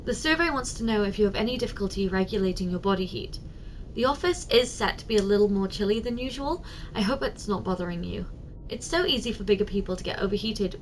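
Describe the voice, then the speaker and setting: A woman playing a giant, doting, teasing office secretary in an ASMR roleplay, speaking softly and coaxingly straight to the listener. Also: mid-range voice, a little hiss or hum, natural voice. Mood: doting, caring. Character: giant, doting, teasing female office secretary, tender toward tiny boss.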